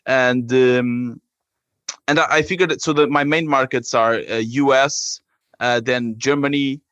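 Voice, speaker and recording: A man from Portugal with a Portuguese accent, explaining his work haltingly as a guest in a conversational interview. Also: medium pitch, steady tone, a bit bright, clean tone, no echo, highs cut off. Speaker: app creator, podcast guest.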